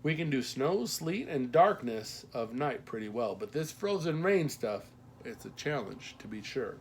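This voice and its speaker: A man's voice: mid-range voice, sing-song, unhurried. A man voicing a matronly small-town postmaster woman in an audiobook, folksy, gossipy and teasing.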